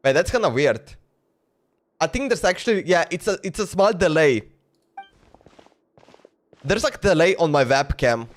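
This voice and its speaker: Higher-voiced man casually live streaming. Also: expressive, unhurried, pauses often.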